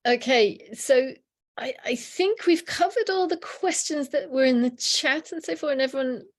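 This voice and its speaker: A woman with a fairly high voice hosts a webinar and takes questions, polite and a little halting. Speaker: webinar host. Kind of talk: moderating a webinar.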